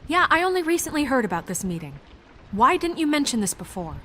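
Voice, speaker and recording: A firm, practical young woman from a video game, speaks in a fairly high, very expressive voice. She is earnest and assertive, with a little noise behind her. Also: quick pace. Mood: exasperated. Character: composed, firm young female deputy, exasperated but practical.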